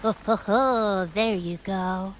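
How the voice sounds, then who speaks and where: A woman's voice: mid-range voice, takes it slowly, slight background noise, lightly acted, rough recording. A woman playing a playful, knowing tinkerer and guide in a video game, chirpy and instructive. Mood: pleased.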